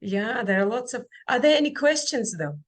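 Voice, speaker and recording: A woman giving a webinar presentation over a video call. She speaks haltingly and fills pauses with many ums and restarts. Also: a little high, big pitch swings. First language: Kazakh. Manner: halting, with frequent fillers and restarts. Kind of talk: online presentation and Q&A.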